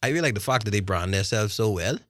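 A man's voice: lowish voice, animated, fast talker, no echo, clean studio sound. Playful man ribbing his friends. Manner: playful, ribbing, drops into mock voices. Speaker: podcast co-host. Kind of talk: casual banter among friends.

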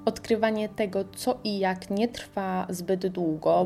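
Dark-toned woman with vocal fry. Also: medium pitch. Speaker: radio podcast reviewer. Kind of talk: scripted radio recommendation segment.

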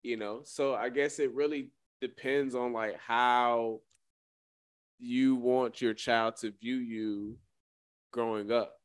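A man's voice: medium pitch, steady tone, slow pace, smooth, no room sound, clean studio sound. A man hosting a radio show in casual chat, hedging and thinking aloud as he talks.

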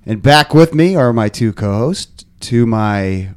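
A man hosting a casual podcast, a bit hoarse, rambling with lots of fillers and restarts. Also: medium pitch, expressive, slow. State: slightly hoarse. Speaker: podcast host. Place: podcast studio.

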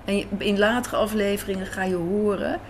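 Female interviewee, medium pitch, thoughtful and reflective, searching for phrasing, light café ambience. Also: steady tone, a little fast. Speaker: radio drama writer and director, interviewee. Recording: faint café background noise.

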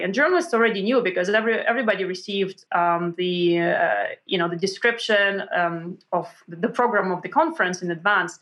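Woman telling stories in an interview, animated, lots of restarts and ums, mid pitch. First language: Russian. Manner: animated, many restarts and fillers, acts out quotes. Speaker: author guest. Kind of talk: conversational interview storytelling.

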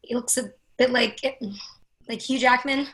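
Casting: woman playing upset young female confidante, bright highish voice, hesitant, affectionate, stage drama. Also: fairly even intonation, low-quality recording. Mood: hesitant, worried. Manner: hesitant, halting. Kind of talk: readers-theatre drama acting.

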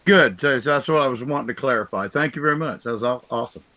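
A man's voice: medium pitch, quick pace, sharp tone, no room sound, lo-fi sound. A man with a big voice speaking as a guest on a casual podcast, enthusiastic and rambling, on his own mic. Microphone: own separate mic.

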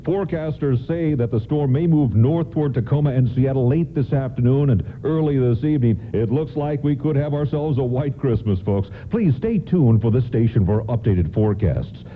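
A man's voice: mid-range voice, fairly quick, hardly any pauses, slight background noise, natural voice. A man performing as a storytelling narrator and announcer in a scripted radio drama. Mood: formal, announcing. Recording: narrow phone-like radio sound.

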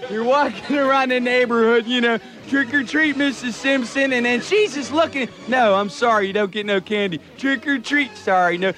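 A man playing an excitable, whiny station manager who rambles and gripes through a comedy monologue. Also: very high-pitched, moderate pace, few pauses. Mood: exasperated, laughing. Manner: exasperated, rambling, blue-collar griping. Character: excitable, whiny male station manager doing comic commentary. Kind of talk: comedy monologue with acted-out shouts.

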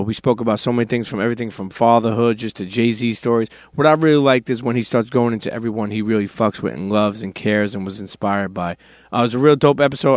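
A man's voice: mid-range voice, dry recording, studio quality. Brooklyn-accented man hosting a podcast. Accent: New York (Brooklyn). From Brooklyn, New York. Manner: loose, street slang, swears, repeats phrases. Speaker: podcast host. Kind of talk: casual podcast chat and ad reads.

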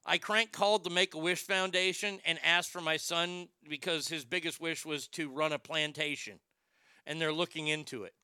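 A middle-aged radio host with a high tenor voice that sounds bright and forceful. His speech comes out smooth and crisp, recorded dry and close. He tells crude, brash stories with plenty of swearing. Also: moderate pace, dry recording. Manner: crude, brash, animated storytelling with profanity. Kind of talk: casual storytelling monologue.

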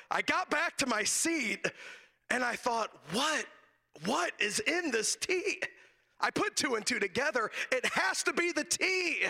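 A man preaching from a church pulpit on a handheld microphone, hoarse and raspy today, telling a folksy personal story with frequent laughs. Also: very high voice, sharp tone. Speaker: guest preacher. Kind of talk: sermon with humorous personal story.

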